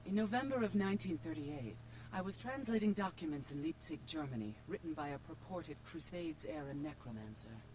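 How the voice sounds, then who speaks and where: Ancient vampire woman, ominous, solemn, lively pitch, humming game audio. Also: brisk, few pauses, a little hiss or hum, low-quality recording. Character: ancient cryptic female vampire, grave and foreboding. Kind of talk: video game voice acting.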